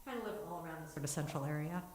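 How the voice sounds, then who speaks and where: A woman giving an earnest, steady talk in a synagogue, then answering questions, with small restarts. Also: deep voice, rapid-fire. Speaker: congregant giving a family history talk. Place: synagogue sanctuary. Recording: echoey room.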